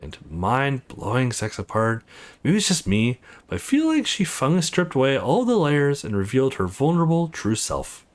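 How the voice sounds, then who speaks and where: A man's voice: medium pitch, huge pitch swings, moderate pace. A man voicing a smitten, worn-out young man in a visual novel, musing frankly and playfully to himself. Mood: tender. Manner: musing, playful inner monologue.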